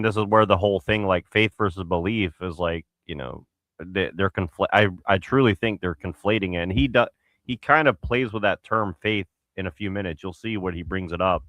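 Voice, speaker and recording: A man's voice: low voice, fairly bright tone, no room sound. A man in a casual stream discussion who pushes back emphatically and skeptically.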